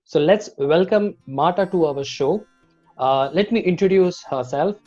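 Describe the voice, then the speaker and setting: A man hosts an interview show, opening with formal, scripted introductions before questioning his guest. The audio is dull and lo-fi. Soft guitar music plays faintly underneath. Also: a little high, unhurried. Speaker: host, video creation coach.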